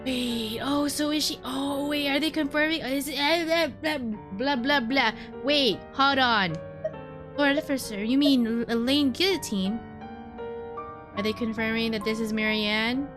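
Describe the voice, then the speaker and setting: A woman's voice: high voice, unhurried. A woman game streamer doing live playthrough commentary, reading dialogue aloud and reacting to it. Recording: game music clearly behind the voice.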